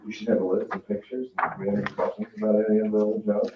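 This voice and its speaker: A man with a low voice makes a casual request at a town meeting. He hesitates as he goes, heard from afar in an echoey room with dull sound. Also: little pitch movement. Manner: halting, casual. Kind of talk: informal request at a public meeting. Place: indoor public meeting room. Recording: echoey room, distant mic, dull, faint noise.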